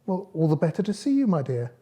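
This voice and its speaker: A man's voice: fairly high pitch, big pitch swings, fast talker, mellow, husky, voice pushed up. A man voicing a big hairy male wolf, hungry, sly and blunt, in a comic fairy tale. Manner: blunt, demanding.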